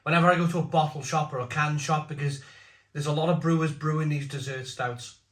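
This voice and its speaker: A man's voice: a little high, steady tone, a little fast, fairly bright tone. Roomy-sounding man in his forties. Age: 40s. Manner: blunt, opinionated, rambling asides. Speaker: craft beer reviewer. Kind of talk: casual beer review monologue. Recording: roomy echo.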